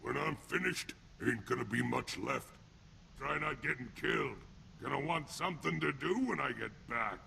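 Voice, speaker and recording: An actor playing a crude, sneering alien thug and ex-convict enforcer in a video game, taunting in blunt street-gangster slang with a rough voice. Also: male voice, medium pitch, normal speed, pushed and bright, slight background noise. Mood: menacing. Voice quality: gravelly, rough. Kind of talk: video game character acting.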